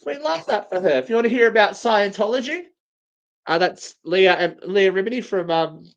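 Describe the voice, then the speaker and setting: A man's voice: sits high, normal speed, dull audio. A man co-hosting a live show in casual banter, with a cheeky, campy manner. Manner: cheeky, campy, gushing asides. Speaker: co-host of a live online show.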